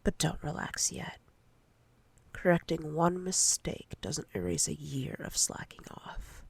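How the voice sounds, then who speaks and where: A seductive, domineering female boss, acted by a woman for an ASMR roleplay. She speaks very slowly and deliberately in a low voice that slips into whispers, with a gravelly creak. Her tone is commanding and teasing. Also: sing-song, no echo, heavily acted. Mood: stern, controlling. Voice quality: breathy, husky whisper.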